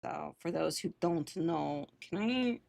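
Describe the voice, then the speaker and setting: A woman's voice: deep voice, expressive, takes it slowly, creaky, darkish tone. A woman livestreamer chatting casually while gaming, informal and sweary.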